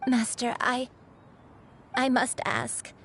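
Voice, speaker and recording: A woman's voice: high voice, steady tone, takes it slowly, fairly bright tone, heavily acted. A woman playing an earnest, tender disciple in a video game, speaking to her master in a hesitant, emotional way. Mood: pleading. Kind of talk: scripted video game character acting. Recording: game dialogue over background music.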